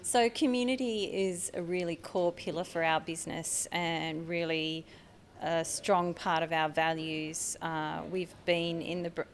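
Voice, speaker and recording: A woman's voice: fairly low pitch, steady tone, a little slow, slight background noise. A middle-aged Australian woman from Tasmania, a guest on a podcast interview, speaking earnestly with frequent fillers. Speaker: marketing manager guest.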